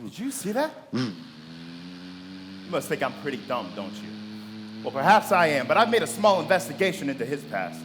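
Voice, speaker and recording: Blustering, arrogant rich husband played by a man, high swooping voice. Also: huge pitch swings, normal speed, pauses often, big-room sound, noisy background. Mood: scornful. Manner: blustering, domineering, sneering. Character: arrogant, bullying wealthy husband, suspicious and scornful. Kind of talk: live radio play acting.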